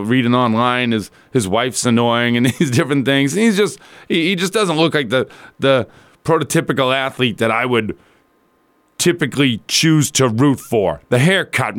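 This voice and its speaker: Man, sports talk radio host, mid-range pitch, slightly strained rough voice, casual rambling delivery, colloquial, dry studio sound. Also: fairly bright tone, no echo. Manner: colloquial, rambling, conversational asides.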